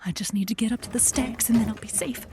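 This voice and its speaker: A woman's voice: fairly high pitch, steady tone, quick pace, noisy background, close to an everyday voice. A woman playing an anxious, sarcastic librarian who mutters to herself in a comic audio drama. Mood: nervous, hushed. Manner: talks to herself.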